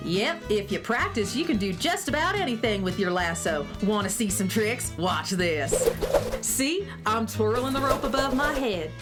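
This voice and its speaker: A confident cowgirl who bosses a space ranch, played by a woman in a children's radio play, at a middling pitch over light music. She is folksy and kindly, with a teasing streak and plenty of cowboy slang. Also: expressive, normal speed, lightly acted. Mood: breezy, boastful. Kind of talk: children's radio drama acting.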